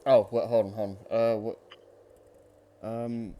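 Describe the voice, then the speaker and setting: A man from Britain talking casually and a little haltingly on a group voice call. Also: fairly low pitch, level tone, dull audio, a little hiss or hum.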